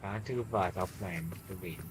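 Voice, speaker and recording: Low-voiced Malaysian man streaming games. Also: normal speed, background noise. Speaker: game streamer. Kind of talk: casual live gameplay commentary. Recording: game music behind.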